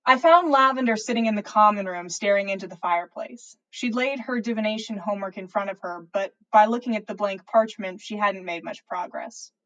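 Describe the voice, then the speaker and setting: A woman reading fan fiction aloud as a podcast host, in an expressive storytelling style. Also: medium pitch, fairly quick, hardly any pauses, muffled recording.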